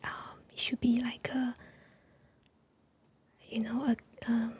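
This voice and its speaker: A woman whispering gently in an ASMR chat, pausing often with soft fillers, a Chinese speaker talking in English. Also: on the high side, flat delivery, husky. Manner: soft unvoiced whisper with many pauses and fillers. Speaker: ASMR creator.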